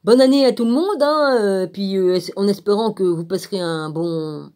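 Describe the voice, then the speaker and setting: Female vlogger, medium pitch. Blunt, crude, sarcastic informal rant delivery. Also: normal speed, mellow. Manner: blunt, irritated, crude colloquial speech; sarcastic, punctuates phrases with rhetorical tags.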